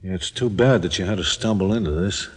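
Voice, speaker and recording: A man's voice: sits low, fairly quick, husky. An actor playing a tough, wary male gangster in a 1940s radio crime drama, speaking in blunt, clipped phrases. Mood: menacing. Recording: old radio recording, reverberant, faint noise, clicks.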